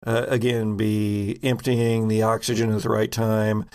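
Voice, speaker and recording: Creaky-voiced man running a tabletop game. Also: fairly low pitch, completely flat delivery, unhurried, darkish tone. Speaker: game master. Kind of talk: casual tabletop game narration.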